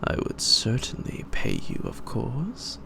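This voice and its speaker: A man playing a suave, psychotic gentleman vampire in an audio roleplay, pompous, taunting and predatory, with drawn-out and sometimes whispered delivery. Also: huge pitch swings, full character voice. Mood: taunting, possessive. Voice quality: very deep, gravelly. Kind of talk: theatrical roleplay monologue to the listener. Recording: noisy amateur home recording.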